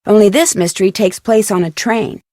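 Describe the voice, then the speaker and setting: Game heroine , female actor: curious young woman detective, plucky and earnest, mid-pitched, very dry studio sound. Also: brisk, mellow, no room sound, lightly acted. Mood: excited, slightly uneasy. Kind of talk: scripted video game dialogue.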